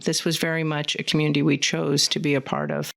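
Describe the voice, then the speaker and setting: Woman with vocal fry, studio interview guest, fluent and earnest. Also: deep voice, little pitch movement, quick pace. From Central Valley, California. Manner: fluent, earnest, rambling storyteller. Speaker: podcast guest, community foundation leader. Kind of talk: conversational interview. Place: podcast studio.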